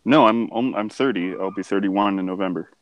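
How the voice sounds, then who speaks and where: 30yo dude rambling crude and blunt, lowish voice, dry sound. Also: male voice, brisk, no room sound, rough recording. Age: 30s. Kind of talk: casual group banter.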